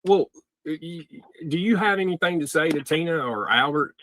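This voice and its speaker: A man's voice: on the high side, lively intonation, moderate pace, fairly bright tone, no echo, poor audio. A man hosting a casual livestream, asking probing questions in an informal way. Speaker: host of a livestream taking calls from jail. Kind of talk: casual livestream interview chat.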